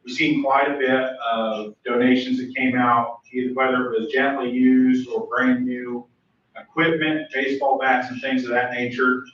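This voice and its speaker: Young midwest guy, loud earnest pastor type, sounds far off in a big echoey room. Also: mid-range voice, flat delivery, normal speed, slight background noise. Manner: earnest, grateful, loud speaking voice. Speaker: youth pastor speaking at public comment. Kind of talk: public comment at a school board meeting. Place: large meeting room. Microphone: room mic from far off. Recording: echoey, dull, distant room recording.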